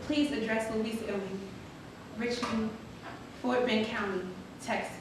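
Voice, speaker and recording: Far from the microphone in a very echoey, noisy hall, a woman plays an anxious freed daughter searching for her mother. She reads her letter aloud on stage, earnest and formal, in a slightly high voice. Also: steady tone, a little slow, close to an everyday voice. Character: polite, anxious young married freedwoman seeking her mother. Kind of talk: dramatic reading of a historical letter.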